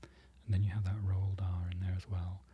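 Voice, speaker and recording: Whispered ASMR lesson, low pitch, mellow tone, slow repetitions, self-correcting. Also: flat delivery, husky, muffled recording. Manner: whispering throughout, soft and close; unhurried, repeats foreign words slowly, self-correcting. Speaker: presenter learning Polish from a book.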